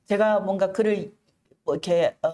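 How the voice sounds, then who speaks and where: A middle-aged woman telling her own story in an interview, earnest and measured, pausing often and enunciating with care. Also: mid-range voice, dark tone. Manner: earnest, measured, with frequent pauses and careful enunciation. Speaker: interview guest, activist. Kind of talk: reflective personal storytelling in an interview.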